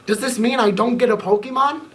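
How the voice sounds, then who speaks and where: A man voicing an excitable young boy trainer in a storybook reading, pitched up high and sounding breathless and pleading. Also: brisk, a little hiss or hum. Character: eager excitable boy trainer, always rushing. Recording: voice a bit far from the mic.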